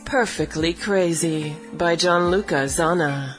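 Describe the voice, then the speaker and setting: Slow sultry female ad voice over music. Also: on the low side. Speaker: ad announcer. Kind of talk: scripted ad read.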